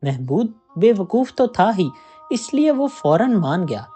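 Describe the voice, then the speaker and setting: A narrator telling a scripted moral story in an expressive, audiobook-like way. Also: medium pitch, slow, slightly rough. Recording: music behind the voice. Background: background music.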